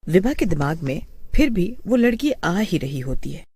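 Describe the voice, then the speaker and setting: A woman's voice: fairly low pitch, very animated, moderate pace, mellow, breathy edge, no echo. A woman storyteller reading a scripted horror story as narrator.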